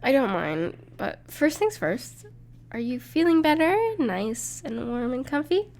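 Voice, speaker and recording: A gentle dragon in human form, acted by a woman, speaks to the listener as a close friend in an audio roleplay. Her voice sits a little high and often breaks into creaky fry. She is reassuring and playful, with a bashful, slightly hesitant way of talking. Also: big pitch swings, measured pace, exaggerated voice. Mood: caring. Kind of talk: soft-spoken intimate audio roleplay to the listener.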